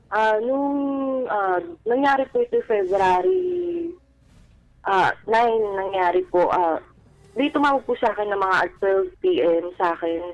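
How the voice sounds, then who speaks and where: A woman calling a radio show by phone, telling a personal story haltingly and politely, quoting dialogue as they go. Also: mid-range voice, lively intonation. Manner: halting, polite, uses respectful "po" often. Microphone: telephone. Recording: dull, band-limited radio phone call.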